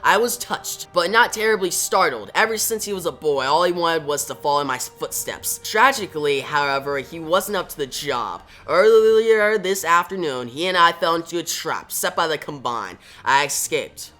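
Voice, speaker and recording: A man's voice: a little high, expressive, moderate pace, few pauses, pushed and bright, faint music behind. A man voicing a bitter older superhero turned villain, taunting and grandiose, in comic-book storytelling. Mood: solemn, smug.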